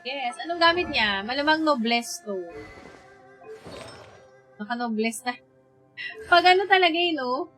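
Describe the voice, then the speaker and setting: A woman's voice: high voice, animated, normal speed, pauses often, a bit bright, faint music behind, low-quality recording. A woman with a Filipino accent giving casual, reactive live commentary as she streams a game. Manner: reactive, reads game text aloud. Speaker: game livestreamer.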